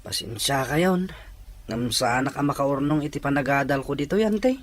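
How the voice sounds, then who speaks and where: A man's voice: mid-range voice, expressive, normal speed, natural voice. A man playing a respectful, earnest young rural man who longs to study, pleading in an Ilocano audio drama.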